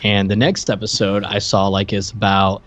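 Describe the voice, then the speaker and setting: A man with a somewhat low voice talks as a podcast co-host. He speaks in an informal, conversational style, as in a relaxed discussion with a friend. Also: normal speed. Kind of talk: casual discussion.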